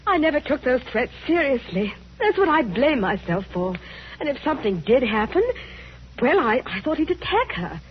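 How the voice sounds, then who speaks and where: Casting: horrified, faltering young lady, very animated high voice, old radio thriller with light hiss. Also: moderate pace. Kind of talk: old-time radio mystery acting. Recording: muffled, slightly noisy vintage radio recording.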